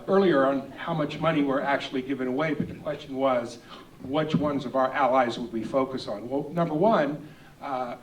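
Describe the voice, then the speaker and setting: A man's voice: medium pitch, recorded from a distance, slight background noise. Businesslike man in an echoey hall. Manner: businesslike, numbered points. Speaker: congressional candidate. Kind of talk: candidate forum answer. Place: event hall.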